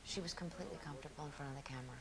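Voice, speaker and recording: A woman's voice: low-pitched, rapid-fire, vocal fry, a crowd in the background. A woman in a documentary interview, speaking in an admiring, emphatic way.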